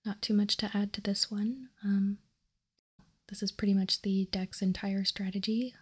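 A woman whispering softly and calmly in an ASMR style, explaining and reading out text as a host, close to the microphone. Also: medium pitch, breathy edge. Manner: soft, unhurried, calm explaining. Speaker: host of card-game ASMR channel.

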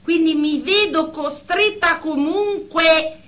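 A woman's voice: extremely high pitch, takes it slowly, a bit bright, exaggerated voice. An actress playing a stern, exasperated female therapist in a radio drama, speaking in a formal, pedantic way. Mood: formal, controlled.

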